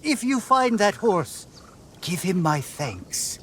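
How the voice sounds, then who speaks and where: An earnest fugitive islander in a game cutscene, with a fairly high, lively voice. His delivery is expressive and takes its time. Faint ambience runs underneath. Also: slow, background noise, exaggerated voice. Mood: grateful. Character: shaken, grateful male islander on the run. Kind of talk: video game cutscene acting.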